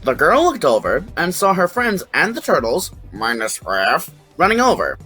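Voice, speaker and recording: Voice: medium pitch, wildly swooping pitch, moderate pace, music in the background, poor audio. A narrator reading a scripted fan fiction story.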